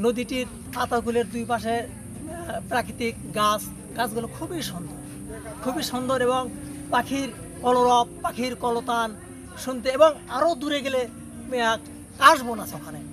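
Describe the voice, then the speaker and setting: A man's voice: very high voice, moderate pace, long pauses, poor audio. A man from Bangladesh speaking outdoors by a river, talking enthusiastically with fillers. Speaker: local interviewee. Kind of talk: casual on-location comments.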